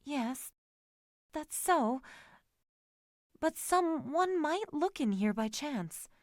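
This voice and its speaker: A woman voicing an anxious, timid young woman in a storybook romance, soft and fretful in manner. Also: high-pitched, animated, extremely slow, no room sound, clean studio sound, voice pushed up, full character voice. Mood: nervous. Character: anxious, timid young woman meeting her lover secretly.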